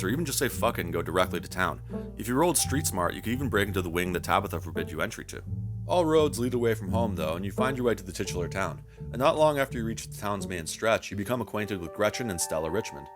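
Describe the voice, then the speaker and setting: A man narrating a scripted but conversational video game review in a wry, self-deprecating way, with wordy phrasing and casual swearing. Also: low-pitched.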